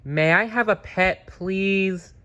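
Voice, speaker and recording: A man's voice: sits high, slow pace, sharp tone, smooth, lo-fi sound, pitched above the performer's own voice, full character voice. A man voicing an eager, polite young boy turtle from a storybook, earnest and pleading.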